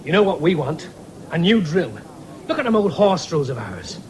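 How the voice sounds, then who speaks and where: An eager, high-voiced young farmer. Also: male voice, big pitch swings, moderate pace. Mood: eager, cajoling. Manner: enthusiastic, cajoling, cocky. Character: eager, boastful young farmer son, keen on machines. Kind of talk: 1970s British radio drama acting. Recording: noisy vintage radio broadcast.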